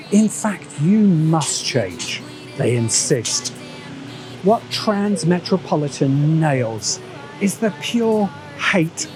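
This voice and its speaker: A man's voice: a little high, darkish tone. Emphatic male video essay narrator over music. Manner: emphatic, rhetorical, with dramatic pauses. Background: background music.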